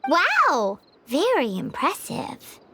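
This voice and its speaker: A woman voicing a tiny, cheeky, excitable childlike companion who exclaims a lot in a video game. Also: high voice, very slow, a little hiss or hum, heavily acted. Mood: excited, cheeky. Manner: refers to self in third person, exclaims. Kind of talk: video game character acting.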